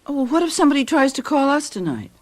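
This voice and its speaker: An American actress playing a chatty, flustered suburban housewife in a radio drama, speaking expressively and fretfully. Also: female voice, high voice, big pitch swings, fast talker, slight background noise. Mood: puzzled.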